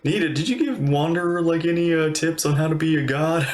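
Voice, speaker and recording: A woman reacting casually to a video game as a streamer, expressive, playful and emotionally invested. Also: low-pitched, brisk. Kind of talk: casual live reaction commentary.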